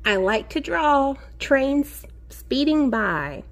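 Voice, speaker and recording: A woman teacher reading a picture book aloud to young children in an expressive sing-song way, encouraging them and asking them questions. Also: sits high. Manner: expressive, sing-song, drawn-out key words; encouraging, addresses children directly.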